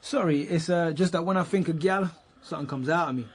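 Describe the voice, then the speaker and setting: An actor playing a swaggering eighteen-year-old suspect, slangy and cheeky, in a radio drama. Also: male voice, on the high side, a little fast, husky. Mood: smug, flippant. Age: young adult. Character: cocky eighteen-year-old male street youth, insolent murder suspect. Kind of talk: naturalistic radio drama acting.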